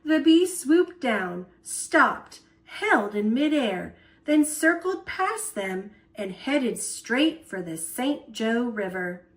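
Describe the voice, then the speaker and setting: A woman tells a children's story aloud with playful emphasis. Her voice is fairly high and very animated. She reads slowly and expressively, giving words room.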